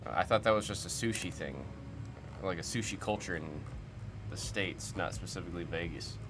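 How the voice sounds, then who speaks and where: Lowish-voiced man chatting on a livestream. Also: moderate pace. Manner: rambling, puzzled, chatty. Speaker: livestream host of card breaks. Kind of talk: casual chat reacting to viewer comments. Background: music.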